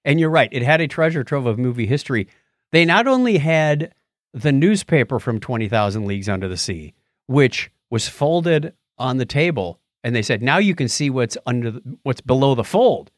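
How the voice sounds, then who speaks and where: A man's voice: medium pitch, lively intonation, moderate pace, no room sound, studio quality. A man hosting a podcast in a casual, conversational way, telling stories with animation. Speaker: podcast host.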